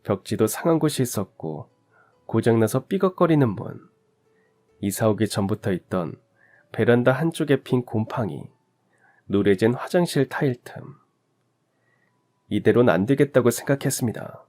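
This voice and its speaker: A man narrating a family story in a calm, reflective, confessional way. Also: medium pitch, moderate pace, pauses often, faint music behind. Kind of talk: scripted radio story narration.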